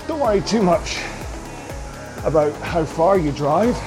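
A man coaching a rowing workout, calling out cues in an upbeat, jokey way, his voice slightly hoarse. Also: on the high side, lively intonation, measured pace. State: a bit hoarse. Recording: music playing behind. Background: workout music.

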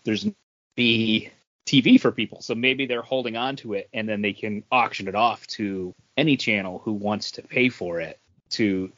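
A man's voice: fairly low pitch, normal speed, a bit bright, no echo, highs cut off. A man co-hosting a podcast in casual conversation. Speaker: podcast co-host. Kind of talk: casual chat.

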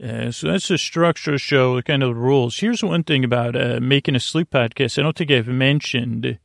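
A man with a creaky voice hosting a sleep podcast, rambling gently and hesitantly through meandering tangents, recorded very close to the mic in a small padded closet. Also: mid-range voice, fairly quick, dry recording. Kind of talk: rambling bedtime monologue for sleep. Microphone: close microphone, monitored on headphones.

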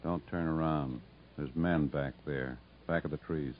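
An actor playing a laconic, wry moonshiner's helper in a 1950s radio drama. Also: male voice, extremely low pitch, steady tone, unhurried. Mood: tense. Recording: muffled 1950s radio broadcast recording.

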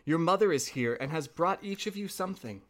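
A man's voice: fairly high pitch, lively intonation, brisk, a bit bright, voice pushed up. A man voicing a deceitful, threatening storybook wolf with a coaxing, demanding manner. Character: deceitful, threatening male wolf posing as mother.

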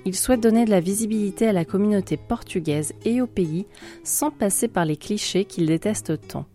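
A woman's voice: medium pitch, mellow. A woman from France reading a scripted podcast introduction as the host. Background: plucked guitar music.

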